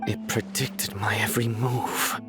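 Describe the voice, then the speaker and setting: A man's voice: lowish voice, flat delivery, unhurried, music in the background, close to an everyday voice. An actor playing a stern, dutiful young lawman, serious and terse, in a video game. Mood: troubled. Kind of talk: video game cutscene acting.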